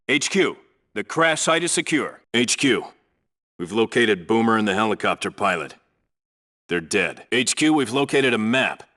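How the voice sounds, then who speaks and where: Navy seal squad leader for a shooter game, sharp punchy voice, clipped and commanding, all business. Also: male voice, medium pitch. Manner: terse, businesslike, authoritative. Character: seasoned male Navy SEAL team leader, terse and commanding. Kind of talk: video game voice acting, clipped military radio reports.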